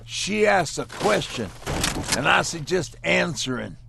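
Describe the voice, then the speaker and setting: A man's voice: on the high side, big pitch swings, measured pace, vocal fry, very noisy, heavily acted. An actor playing a brash, alarmed male survivor leader, confrontational and emphatic in an action game. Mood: suspicious, alarmed. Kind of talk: dramatic action game dialogue.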